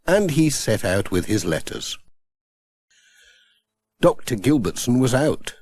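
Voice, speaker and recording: Unhurried, very expressive male storyteller. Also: lowish voice, slightly rough. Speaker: audiobook narrator. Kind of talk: scripted children's story narration.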